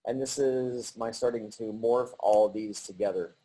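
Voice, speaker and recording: A middle-aged man giving a halting virtual artist talk over a video call, with many pauses and restarts. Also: fairly low pitch, mellow, breathy edge, low-quality recording. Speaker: artist presenting his work.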